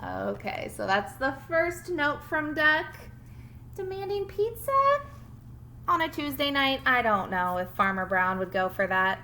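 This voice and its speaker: A woman teacher giving a read-aloud lesson to young children, expressive and instructive, thinking aloud as she goes. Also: high-pitched, a little slow, slight background noise. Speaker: primary school teacher.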